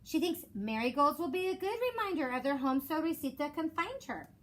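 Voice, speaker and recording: High-voiced woman reading to children. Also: lively intonation. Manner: measured storytelling with rhymed cadence. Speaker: elementary school teacher. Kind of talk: expressive children's picture book read-aloud. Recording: clear background noise.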